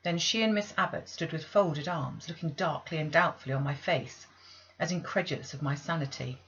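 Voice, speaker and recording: A woman's voice: low voice, fairly quick, highs cut off. A woman reading a classic novel aloud as scripted literary audiobook narration. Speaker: audiobook reader.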